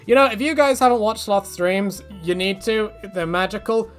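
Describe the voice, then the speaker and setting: A man's voice: high voice, moderate pace, soft background music. A man casually answering viewer questions in a rambling way, with many fillers and restarts.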